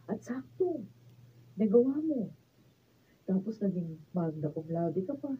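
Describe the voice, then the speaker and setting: A woman's voice: medium pitch, sing-song, unhurried. An actress playing a devoted, emotional young woman in love in a Filipino radio drama. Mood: proud, loving. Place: radio drama recording studio, behind-the-scenes video. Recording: phone-like lo-fi sound, faint noise.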